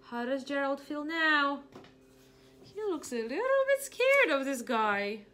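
Young female preschool teacher for an interactive read-aloud: higher-pitched, very lively intonation, questioning delivery. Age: young adult. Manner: questioning, pausing for answers, expressive. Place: small home room indoors.

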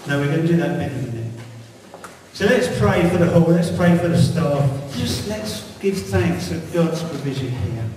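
A man's voice: a little high, moderate pace. Middle-aged male church leader, easygoing and rambling, echoey far-off hall sound. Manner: relaxed, rambling, encouraging. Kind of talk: leading prayer at a church meeting. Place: large church hall indoors. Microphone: handheld mic, filmed from far back. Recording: echoey hall audio from far off, faint hiss.

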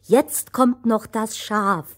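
A woman's voice: a little high, slow pace, fairly bright tone. A woman as second storyteller in a fairy-tale audio play, playful and cheeky, chipping in as she narrates.

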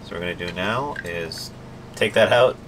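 A man's voice: sits low, normal speed, background noise. A man in his thirties hosting a sailing vlog, talking casually with fillers as he explains what he is doing on his boat. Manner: explaining step by step, chatty with fillers. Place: aboard a sailboat, cabin and deck.

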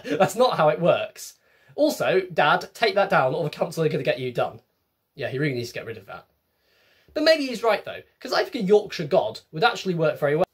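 A young English man delivers a chatty, self-mocking comedy monologue straight to his webcam. His voice sits on the high side and has a slightly husky grain. The sound is close and plain, from a small bedroom. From England. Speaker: comedian, web series host. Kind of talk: comic monologue to camera.

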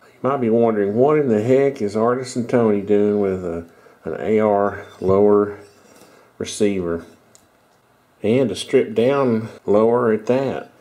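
A middle-aged man talks at an easy, rambling pace, often correcting himself as he goes. His voice sits a little low and sounds dark and mellow, with a stuffy, congested edge. It is picked up close on a headset mic in a small room. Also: unhurried. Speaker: video host. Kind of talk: casual show-and-tell talk.

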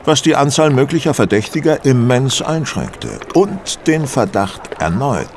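Superior polite detective in an audio drama, very lively pitch, noisy outdoor background. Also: male voice, unhurried. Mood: explaining. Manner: courteous, theatrical, precise explaining. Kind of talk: German audio drama acting.

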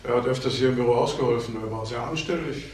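An actor playing a matter-of-fact prison official in a crime drama, businesslike and obliging. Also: male voice, medium pitch, fast talker, darkish tone, lightly acted. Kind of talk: German TV crime drama acting. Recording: roomy echo, faint noise.

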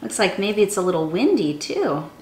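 A young woman, a children's storytime teacher, reading a picture book aloud in an animated, sing-song way, speaking straight to young listeners. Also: mid-range voice, moderate pace, a little hiss or hum. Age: young adult. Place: small room indoors. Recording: roomy indoor echo.

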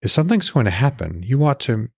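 A frank goddess wife, as a male narrator plays her speaks in a raised, slightly husky voice. She is concerned and questioning, with a pointed edge, in a dry-sounding fantasy audiobook. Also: medium pitch, very animated, quick pace, a little mellow, no echo, voice pushed up, full character voice.

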